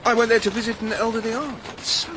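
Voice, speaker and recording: A man's voice: very high voice, flat delivery, fast talker, background noise. An actor playing a naive, pious, smitten unmarried man, earnest and reverent, in a radio drama. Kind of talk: naturalistic radio drama acting.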